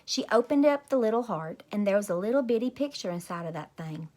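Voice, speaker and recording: A woman's voice: medium pitch, lively intonation, brisk, warm tone. A woman storyteller reading a children's book expressively to young listeners. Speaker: read-aloud teacher, storyteller.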